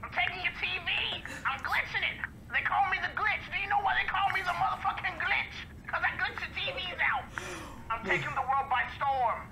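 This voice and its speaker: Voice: very high-pitched, expressive, a little fast, heavy background noise. A performer voicing a grandiose, crude villain scientist in an improvised comedic game dub, ranting and theatrical. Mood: boastful.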